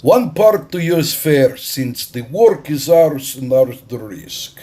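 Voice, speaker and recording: A man voicing a tall, shrewd, haughty Russian captain in an audiobook, declaiming loudly in drawn-out phrases. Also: on the high side, animated, pitched above the performer's own voice. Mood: haughty, arguing.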